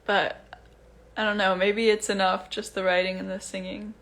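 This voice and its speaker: A young woman from Iceland chatting casually on a livestream, soft-spoken and rambling, talking into a phone. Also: mid-range voice, little pitch movement, normal speed. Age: young adult. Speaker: singer-songwriter on a livestream. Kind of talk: casual chat to viewers.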